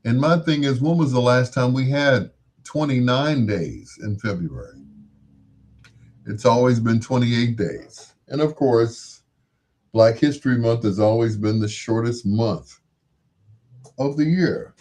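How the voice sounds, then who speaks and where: Slow, mellow, husky American man. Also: medium pitch. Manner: musing, asks rhetorical questions. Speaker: radio-style host. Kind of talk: casual rambling monologue.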